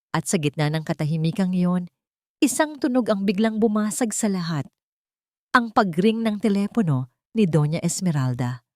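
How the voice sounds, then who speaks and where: A woman's voice: lowish voice, darkish tone, no room sound, studio quality. A woman with a Filipino accent giving a scripted, dramatic narration as a storyteller.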